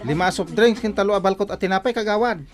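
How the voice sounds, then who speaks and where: An actor playing an excitable village customer in a comedic radio drama, with a Northern Philippine accent and an animated, protesting manner. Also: mid-range voice, fast, faint music behind, close to an everyday voice. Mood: casual. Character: excitable village customer who checks every sum.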